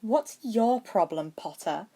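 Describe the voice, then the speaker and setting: A woman's voice: medium pitch, lively intonation, slow pace, warm tone, slightly rough, pitched above the performer's own voice, exaggerated voice. A woman voicing a bossy young schoolgirl with a blunt, emphatic manner in a story reading. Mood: exasperated.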